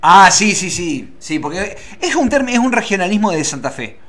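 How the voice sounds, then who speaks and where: A man's voice: high-pitched, very animated, normal speed, bright, forceful tone. A man with an Argentine Spanish accent co-hosting a radio show, bantering casually and slipping into joking, theatrical announcer bits. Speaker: radio co-host.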